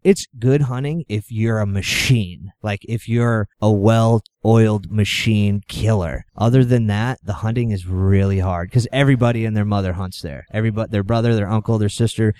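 A man's voice: on the low side, steady tone, moderate pace, few pauses, darkish tone, smooth. A man from New Hampshire, a podcast guest, rambling through his stories in an easygoing way with humorous asides. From New Hampshire, USA. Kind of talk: casual chat.

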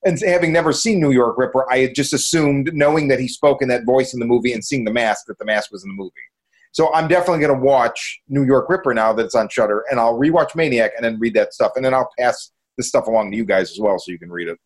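A man's voice: mid-range voice, fast talker, low-quality recording. A man hosting a podcast, chatting casually in a rambling, enthusiastic way. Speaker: podcast host. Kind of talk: casual review chat.

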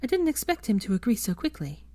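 Woman doing a brisk, clever young witch. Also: a little high, very animated, dark tone, no room sound, pitched above the performer's own voice. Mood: persuasive. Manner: conciliatory and arch by turns.

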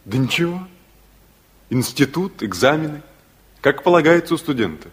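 A man's voice: on the high side, big pitch swings, normal speed. An actor playing a polite, uneasy young male student who answers briefly and evasively in a 1960s Soviet radio play. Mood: polite, guarded.

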